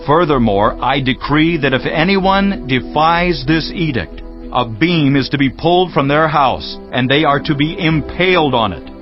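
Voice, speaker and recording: Commanding Persian king, male actor, mid-to-low formal delivery of decrees, measured and stern. Slightly muffled, with music. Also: lively intonation. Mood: stern, solemn. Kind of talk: dramatized scripture reading. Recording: dull lo-fi audio under clear music.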